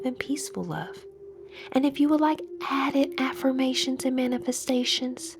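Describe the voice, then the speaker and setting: A woman with a fairly high-pitched voice guides a meditation. Her delivery is measured and soothing, with soft, breathy phrases that often taper toward a near-whisper. She gives each instruction gently, as a host leading a practice.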